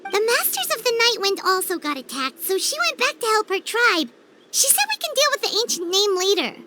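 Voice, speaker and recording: A woman voicing a tiny, childlike, excitable fairy companion in a video game. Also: very high voice, a little fast, background noise. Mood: explanatory. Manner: refers to self in third person, exclamatory. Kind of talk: video game character acting.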